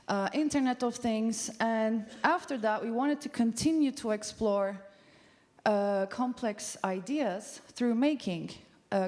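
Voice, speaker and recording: A woman's voice: mid-range voice, a little mellow. A woman in her thirties from Turkey giving a conference talk on stage, speaking casually with frequent ums and uhs. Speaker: studio co-founder giving a festival talk. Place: large festival venue stage.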